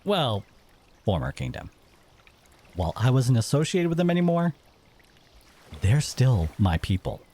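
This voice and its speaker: A man acting as a bitter exiled merfolk interrogator in an audio drama, speaking in a stern, accusing way with dramatic pauses. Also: mid-range voice, big pitch swings, mellow. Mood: stern, menacing.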